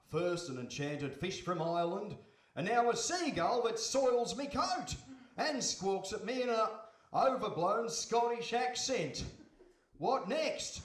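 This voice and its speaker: A man's voice: high-pitched, expressive, slow. A man playing a sceptical, grumbling poor fisherman in a comic audio story, bemused and easily exasperated, with room echo. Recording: roomy echo, faint noise.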